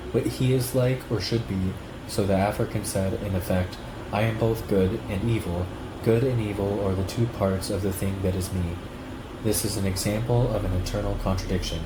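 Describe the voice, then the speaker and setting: A man reading a written text aloud at a steady, measured pace. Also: on the low side, roomy sound, background noise. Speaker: reader of a political text.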